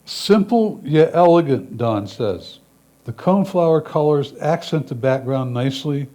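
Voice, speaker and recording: A man's voice: a little high, animated, a little slow, mellow. A man reading a judge's written critiques aloud as a competition reader.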